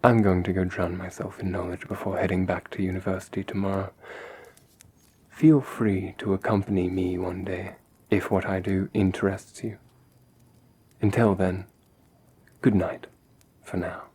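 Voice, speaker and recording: A man acts out a young male vampire, courteous and brooding, in an ASMR roleplay. The voice is dark, mellow and husky, with little rise and fall. He sounds measured, formal and protective. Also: low-pitched, level tone. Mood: softly reassuring.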